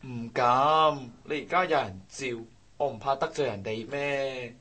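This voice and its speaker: Sarcastic sulky young coworker, hong kong cantonese, kinda raspy, radio play. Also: male voice, medium pitch, unhurried, pushed and bright. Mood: sarcastic, resentful. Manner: sarcastic, grumbling. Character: resentful young male coworker, sarcastic and wounded. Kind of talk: radio drama acting.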